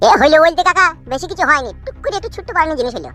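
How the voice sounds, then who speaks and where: A man's voice: extremely high pitch, very animated, rapid-fire, bright, forceful tone, faint music behind, exaggerated voice. An actor voicing a sly, menacing cartoon party henchman with a rural West Bengal Bengali accent, mock-polite and threatening. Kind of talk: comic cartoon dubbing.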